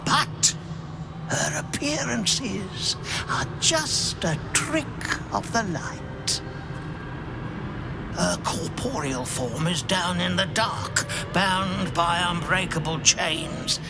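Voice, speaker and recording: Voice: mid-range voice, animated, very slow, vocal fry, exaggerated voice. An actor voicing a cruel, sardonic spirit who was once a prison keeper, in a video game cutscene, speaking in a mocking drawl. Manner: mocking, drawling, with sneering laughs. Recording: music underneath.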